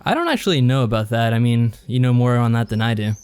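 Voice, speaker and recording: A man with a medium-pitched voice co-hosts a podcast. He talks casually, walking through his review in a conversational style. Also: animated, quick pace, darkish tone. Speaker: podcast co-host. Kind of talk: casual review and plot summary.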